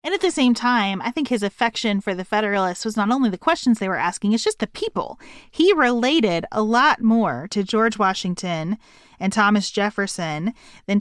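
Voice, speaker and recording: A woman's voice: mid-range voice, smooth, no room sound, studio quality. A woman co-hosting a podcast, discussing a book casually in a thoughtful, articulate way. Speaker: podcast co-host.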